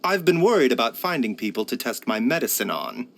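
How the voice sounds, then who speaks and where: An actor playing a gentle, scholarly herbalist doctor in a video game, with a courteous, measured, formal way of speaking. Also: male voice, mid-range voice, big pitch swings, creaky, slight background noise. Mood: sly, pleased. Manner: measured, courteous, soft-spoken formal phrasing. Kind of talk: scripted video game character voice lines.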